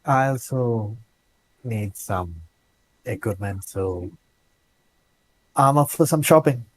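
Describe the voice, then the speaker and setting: A man's voice: mid-range voice, expressive, takes it slowly, slight background noise, rough recording. A man playing a tabletop game, chatting casually in a hesitant way and repeating his words. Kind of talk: casual chat.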